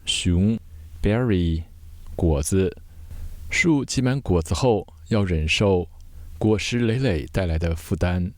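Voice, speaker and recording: Deep voiced guy doing vocab lesson, slow, one word at a time, dry sound. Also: darkish tone, dry recording. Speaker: vocabulary teacher giving Chinese glosses. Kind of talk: scripted vocabulary explanation, word by word.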